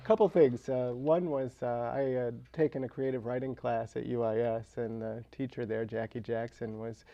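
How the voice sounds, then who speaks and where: A man's voice: mid-range voice, fairly even intonation, normal speed, vocal fry, few pauses, mellow. Man with dry wit, slightly muffled recording. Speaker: interview guest, local novelist. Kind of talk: conversational interview anecdotes.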